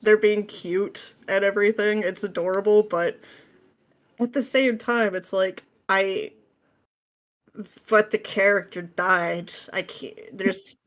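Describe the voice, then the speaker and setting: A woman's voice: medium pitch, fairly even intonation, takes it slowly. Woman, mid-range voice, casual podcast guest, sarcastic, playful, given to mimicry. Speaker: podcast guest, script writer. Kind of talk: casual pop culture chat.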